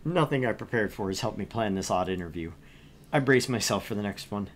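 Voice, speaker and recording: A man's voice: on the low side, lively intonation, a little fast, a little hiss or hum, close to an everyday voice. A man reading as a bemused, earnest young job seeker who narrates their thoughts in a visual novel.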